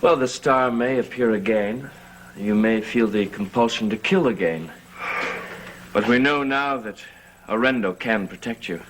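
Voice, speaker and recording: A man playing a solemn, occult-minded cousin in a gothic TV soap, speaking in a measured, formal way. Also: lowish voice, close to an everyday voice, rough recording. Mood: grave, reassuring. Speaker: actor in a TV serial. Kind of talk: gothic soap opera acting. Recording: old TV soundtrack, faint noise.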